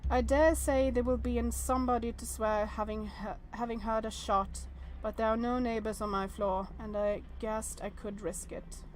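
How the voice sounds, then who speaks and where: A woman game streamer reading a visual novel aloud with casual commentary, often hesitant and thinking out loud in fragments. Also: a little high, fairly even intonation, normal speed, hardly any pauses, a little hiss or hum, poor audio.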